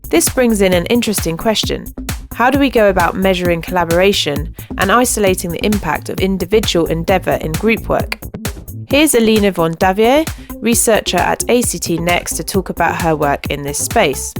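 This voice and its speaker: A woman podcast host giving a clear, polished scripted introduction. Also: lowish voice, lively intonation, moderate pace. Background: music.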